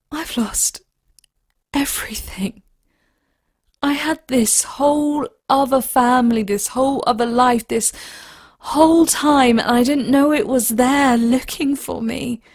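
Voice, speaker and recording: A woman playing an emotional, traumatized young woman in an audio drama, with a husky voice and halting delivery. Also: high-pitched, dry recording, studio quality, heavily acted. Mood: anguished. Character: traumatized young woman learning her lost family history.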